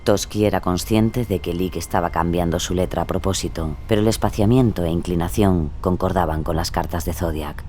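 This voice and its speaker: A man's voice: low-pitched, a little fast, few pauses, noisy background, natural voice. A man reading scripted, dramatic narration for a crime audio drama. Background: music.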